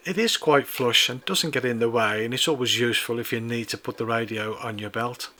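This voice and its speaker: A man with a bright, clear tone reads a product review from a script. He talks in a measured, precise way, walking through each feature and button step by step at an even middle pitch.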